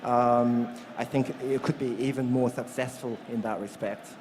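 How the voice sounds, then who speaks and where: A man from South Korea speaking on a live panel as an academic, in a measured, hesitant way. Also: medium pitch, steady tone, noisy background. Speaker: clinical assistant professor, panel member. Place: live stream stage at a busy event.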